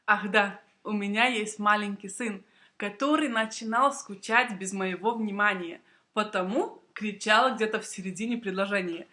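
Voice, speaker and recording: Bright-voiced young woman explaining to learners. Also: medium pitch, moderate pace, a little hiss or hum. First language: Russian. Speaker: Russian language teacher and video blogger. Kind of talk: prepared explanatory storytelling to camera for learners.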